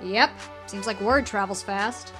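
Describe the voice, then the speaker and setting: A woman's voice: mid-range voice, unhurried, over music, lightly acted. An actress playing a sassy teenage girl in a small creature's body in an audio drama, with a wry, casual delivery. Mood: easygoing. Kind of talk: audio drama character acting.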